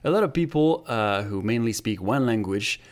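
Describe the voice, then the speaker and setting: A man with a fairly low voice talks as a guest on a podcast. He explains his points in a thoughtful, measured way. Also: lively intonation. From France. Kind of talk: podcast interview.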